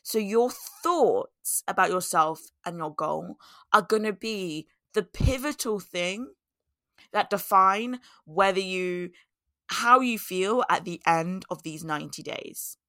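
A woman hosts her podcast in a chatty, self-mocking way, her voice hoarse and scratchy from a cold. Her tone is bright and forward. The sound is dry and close, with no room around it. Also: mid-range voice, slow pace, no echo. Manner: chatty, laughs at herself, repeats key points. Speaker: podcast host. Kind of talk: casual solo podcast talk.

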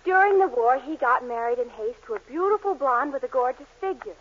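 Patient, persistent young American sweetheart, high and emphatic, 1940s radio comedy with muffled sound. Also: female voice, lively intonation, fairly quick. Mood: earnest, insistent. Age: young adult. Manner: earnest, emphatic, pleading. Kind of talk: 1940s radio sitcom acting.

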